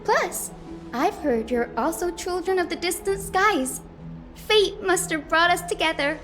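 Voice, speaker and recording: A woman playing a sweet, bubbly young healer priestess in a video game, cheerful, gushing and excitable. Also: extremely high pitch, unhurried, faint music behind, exaggerated voice. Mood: excited, friendly.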